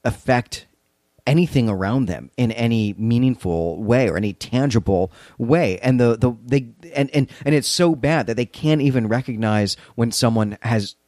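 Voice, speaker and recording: A man's voice: mid-range voice, moderate pace, darkish tone, no room sound. Explanatory male podcast co-host, dry sound. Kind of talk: conversational story recap and analysis.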